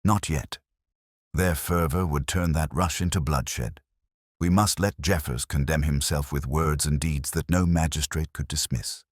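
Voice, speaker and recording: A man voicing a commanding, coolly calculating male detective in an audiobook story, speaking with crisp, deliberate authority. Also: rumbling low, no room sound, clean studio sound. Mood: calm, calculating.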